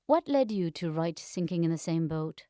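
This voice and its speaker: A woman's voice: on the low side, animated, moderate pace, mellow, no room sound, clean studio sound. A woman interviewer reading prepared introductions and putting questions to a guest. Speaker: interviewer, theatre artistic director.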